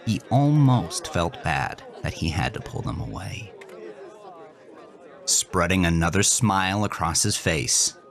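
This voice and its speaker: A man narrating a scripted horror story intensely, dropping to a hush in grim moments. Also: low-pitched, lively intonation, takes it slowly, some chatter behind.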